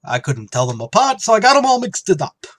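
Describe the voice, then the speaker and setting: A man's voice: sits high, very animated, fairly quick, a bit bright, no room sound, full character voice. An actor playing a dim-witted male bar regular in an old-time radio comedy, bumbling through his lines. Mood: confused.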